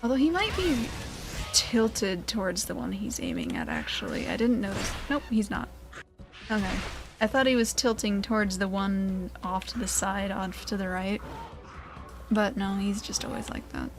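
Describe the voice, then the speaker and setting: A woman's voice: medium pitch, big pitch swings, moderate pace. A woman giving casual, playful video game commentary with goofy word choices. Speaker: video game let's player. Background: game music.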